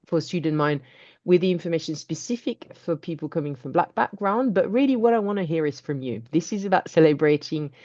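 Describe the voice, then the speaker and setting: A woman's voice: on the low side, expressive, brisk, warm tone. A woman speaking earnestly and encouragingly to a webinar audience, with some fillers. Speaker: webinar speaker for a student charity. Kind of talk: reflective talk. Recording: dull lo-fi video call.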